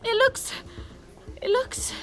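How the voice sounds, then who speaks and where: A woman's voice: slow pace, natural voice. A British woman playing a timid, bewildered, apologetic character in an audio drama, hesitant and stammering. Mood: alarmed. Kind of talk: naturalistic comedy audio drama acting.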